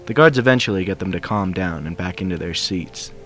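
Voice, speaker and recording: A man's voice: low-pitched, fairly quick, a bit bright. A man reading fan fiction aloud as scripted narration, with music behind him. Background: background music.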